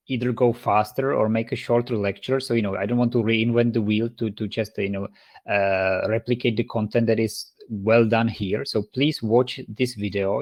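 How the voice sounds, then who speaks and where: Czech guy lecturing online, lowish voice, lots of uhs and restarts. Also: a little mellow. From Czech Republic. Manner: halting, many fillers and restarts. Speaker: university lecturer.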